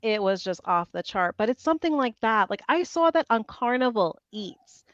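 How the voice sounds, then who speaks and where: Woman guest, lively storyteller, smooth clear voice, dry lo-fi call audio. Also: a little high, normal speed, dry recording. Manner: animated storyteller, quotes her own thoughts. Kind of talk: casual chat.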